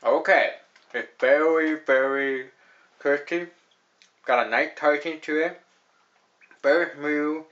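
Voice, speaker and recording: A man's voice: a little high, slow pace, long pauses, fairly bright tone, dull audio. A young man hosting a casual beer review at a table in a small room, rambling with lots of fillers and repeating "actually". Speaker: host of a beer review show.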